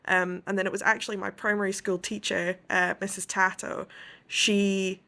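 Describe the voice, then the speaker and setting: A woman's voice: medium pitch, level tone, moderate pace, vocal fry, sharp tone. A young woman from the west coast of Scotland, an author telling her story as an interview guest, thoughtful and detailed.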